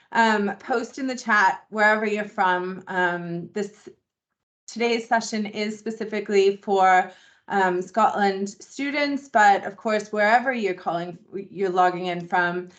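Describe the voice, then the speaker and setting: A middle-aged woman from Boston with a Boston accent, giving a friendly, conversational induction presentation over a video call and using plenty of ums. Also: mid-range voice. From Boston, USA. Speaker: membership engagement manager presenting a webinar. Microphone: video call microphone.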